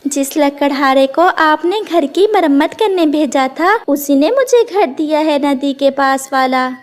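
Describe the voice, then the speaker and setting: A woman voicing a poor, gentle, grateful widowed mother bird, speaking politely and earnestly in a cartoon. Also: sits high, animated, measured pace.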